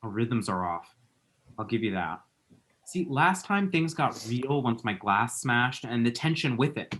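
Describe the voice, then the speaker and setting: A man plays a dramatic young man in a romantic comedy script read. He is awkward and sassy, with medium pitch that swings widely. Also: lively intonation, measured pace, a bit bright. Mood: exasperated, bitchy. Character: flustered, dramatic, lovestruck, bitchy young man. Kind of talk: screenplay table read.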